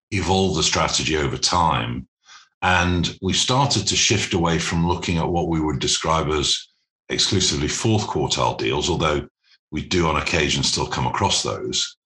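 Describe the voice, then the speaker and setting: Man, very low pitch, bright forceful tone, formal interview guest, measured and deliberate. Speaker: interview guest, private equity managing director. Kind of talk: explanatory business interview.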